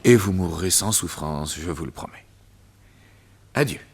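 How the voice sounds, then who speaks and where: An actor voicing a gloating, theatrical villain who is a doctor and hypnotist in a vintage radio drama. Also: male voice, low-pitched, expressive, unhurried, slightly rough, full character voice. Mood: coldly smug. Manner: sneering, theatrical, laughs at his own cruelty.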